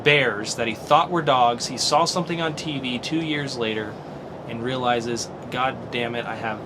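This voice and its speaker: A man's voice: mid-range voice, moderate pace, noisy background. A man hosting a casual podcast, thinking aloud and swearing casually as he reasons. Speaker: podcast host.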